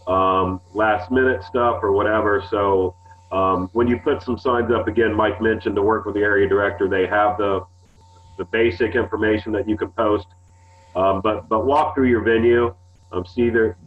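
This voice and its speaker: A low-voiced man explains procedures on a webinar in a rambling way, with lots of ums and restarts. He comes through a tinny, phone-like line. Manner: rambling, filler-heavy explaining. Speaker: sports department staff. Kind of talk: webinar briefing.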